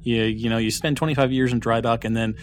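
A man co-hosts a podcast in relaxed, joking banter, often trailing off with fillers. His voice has an ordinary middle pitch. A faint hiss sits under the recording. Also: fast. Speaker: podcast co-host. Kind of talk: casual banter.

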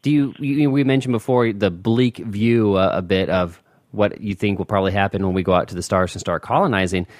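A man hosting a podcast, asking questions in a relaxed, attentive way. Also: lowish voice, fairly quick, dry recording, clean studio sound. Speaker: podcast host. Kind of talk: casual interview.

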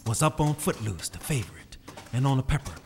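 A man's voice: mid-range voice, lively intonation, fairly quick, slight background noise. A man narrating a scripted story in a dramatic style. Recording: reverberant, faint music behind.

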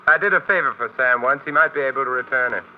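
An actor playing a suave, wry amateur detective with smooth, urbane, drily teasing delivery in 1950s radio drama style. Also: male voice, medium pitch, quick pace, slight background noise, natural voice. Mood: cool, businesslike. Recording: muffled vintage radio recording.

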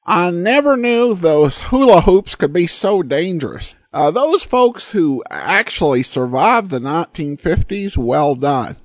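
Man, podcast host, fairly high voice, lively intonation, commentary style, close dry sound. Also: a little slow, few pauses, no room sound. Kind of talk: podcast commentary and sponsor read.

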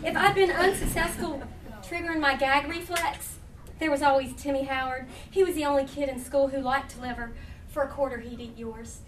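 A woman's voice: high voice, steady tone, normal speed. An American woman humorist reading her writing live to an audience, with folksy, playful storytelling and chatty asides. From Huntington, West Virginia, United States. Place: indoor live reading event. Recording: echoey room, distant mic, faint noise.

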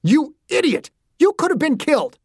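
A narrator voicing an angry, protective father with a hot temper, shouting and cursing in an audiobook story. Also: high voice, very animated, normal speed, dry recording, clean studio sound, pitched above the performer's own voice. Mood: furious scolding.

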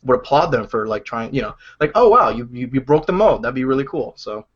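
Guy rattling off game opinions super fast, rambly, lots of likes, muffled podcast audio. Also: mid-range voice, sing-song, husky. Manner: rambling, lots of fillers and restarts, laughs easily. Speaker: podcast co-host, game reviewer. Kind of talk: casual chat.

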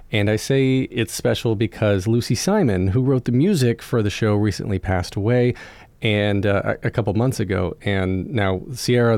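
Guy host w/ a husky cold voice, lowish, dry close mic, chatty. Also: dry recording. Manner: chatty, restarts, lively intro plugs. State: hoarse from a cold. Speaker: podcast host. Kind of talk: casual podcast chat and intro.

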